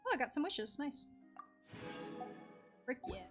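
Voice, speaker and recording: A woman's voice: high voice, very animated, unhurried, soft background music. A woman streamer giving casual, playful game commentary with little exclamations and silly noises. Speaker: live streamer.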